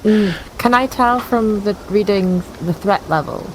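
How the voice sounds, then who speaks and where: A woman's voice: medium pitch, a little slow, slight background noise, poor audio. A woman speaking as a player in a tabletop game, slipping casually into in-character roleplay.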